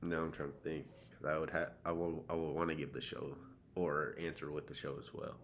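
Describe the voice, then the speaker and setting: A man with a very deep voice chats as a podcast co-host. His delivery is casual and matter-of-fact, with slang and the occasional swear word. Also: little pitch movement, brisk, slight background noise. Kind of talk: casual banter, would-you-rather questions.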